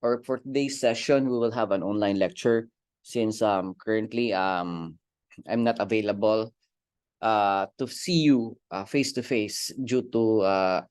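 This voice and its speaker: A Filipino woman with a Cebuano lilt lectures her class, her voice roughened by a cold. She reads definitions out and tags phrases with "no?". The sound is dry and close, with no room echo. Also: a bass voice, measured pace, darkish tone. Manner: reads definitions aloud, ends phrases with tag "no?". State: hoarse with a cold. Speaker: teacher. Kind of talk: recorded online lecture.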